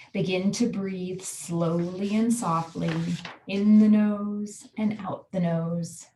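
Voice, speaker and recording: A woman guiding a relaxation meditation, giving calm, soothing, measured instructions. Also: lowish voice, gravelly. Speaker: yoga nidra guide.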